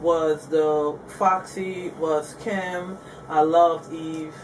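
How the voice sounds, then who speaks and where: Guest: woman from Guyana, relaxed and candid, mic at a distance, faint noise. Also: low voice, drawn-out words. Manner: laughing, easygoing, candid. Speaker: rapper guest. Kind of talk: casual interview chat.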